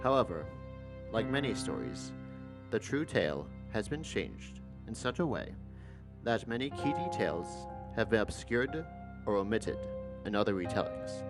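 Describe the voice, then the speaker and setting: A young man giving a formal, measured scripted introduction to a radio drama. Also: fairly low pitch, very animated. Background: guitar music.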